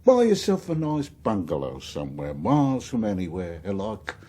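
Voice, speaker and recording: A man's voice: lowish voice, huge pitch swings, moderate pace, dark tone, breathy edge, full character voice. An actor in a radio drama playing a nosy working man who talks in a colloquial, insinuating way. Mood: teasing.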